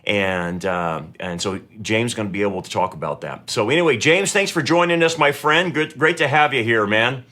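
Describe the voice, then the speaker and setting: Middle-aged male host, mid-pitched, friendly, meandering questions, lots of restarts. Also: lively intonation, moderate pace, few pauses, bright, forceful tone. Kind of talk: conversational interview hosting.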